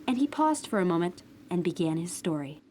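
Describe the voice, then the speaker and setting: A woman's voice: on the low side, very animated, a little fast, darkish tone, slight background noise. A woman narrating a storybook audio drama as a scripted storyteller. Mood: storytelling. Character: storybook narrator of a brigand tale. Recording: music bed behind the voice.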